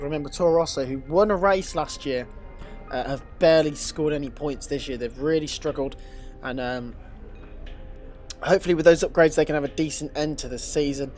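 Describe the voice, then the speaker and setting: A young man with a blocked-up nose, hosting a gaming channel and giving eager racing game commentary. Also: fairly high pitch. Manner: eager, rising to excitement on fast laps.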